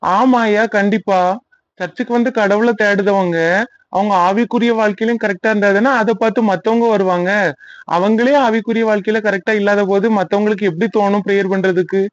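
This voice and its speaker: High-pitched, plainspoken cartoon young man voiced by a man. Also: fairly even intonation, a little fast, dry recording, dull audio, close to an everyday voice. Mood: earnest, candid. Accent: southern Tamil Nadu. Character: plainspoken young son of a churchgoing mother.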